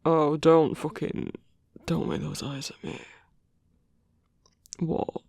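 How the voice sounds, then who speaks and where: A man plays a gruff werewolf boyfriend in an intimate ASMR audio roleplay. He talks slowly and haltingly in a gravelly, husky voice, bashful under the grumpiness. The sound is close and dry. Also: a little high, animated, a little mellow, no room sound, heavily acted. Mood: gruff, flustered. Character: grumpy but tender, hesitant male werewolf lover in human form.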